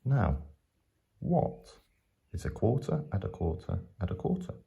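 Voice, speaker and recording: A man's voice: fairly low pitch, sing-song, measured pace, vocal fry, mellow, dull audio. A man teaching maths step by step in a patient, explanatory way, going back over his points.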